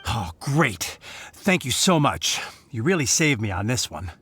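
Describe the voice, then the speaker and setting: Flustered husky young guard. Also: male voice, medium pitch, sing-song, unhurried, fairly bright tone, dry recording, heavily acted. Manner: hesitant, stammering. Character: flustered, deferential young male knight guard. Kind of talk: video game voice acting.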